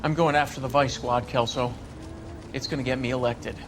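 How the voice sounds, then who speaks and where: A man's voice: medium pitch, fairly even intonation, fairly quick, noisy background, lightly acted. Man playing a brash, ambitious prosecutor. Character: ambitious, pushy male assistant district attorney. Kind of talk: 1940s detective game acting.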